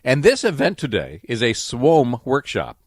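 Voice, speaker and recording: Lively male radio host, dry sound. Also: mid-range voice, unhurried, slightly rough, no echo. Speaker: radio show host and narrator. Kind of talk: scripted radio narration.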